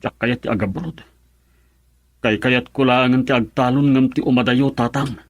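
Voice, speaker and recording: A man with an Ilocano accent and a husky voice playing a stubborn, wounded middle-aged farmer husband in a radio drama. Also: medium pitch, fairly even intonation, moderate pace, lightly acted. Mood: aggrieved.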